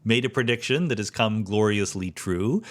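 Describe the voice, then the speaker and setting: A middle-aged man hosting a podcast interview, speaking in an articulate, measured way with lively questions. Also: lowish voice. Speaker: podcast host.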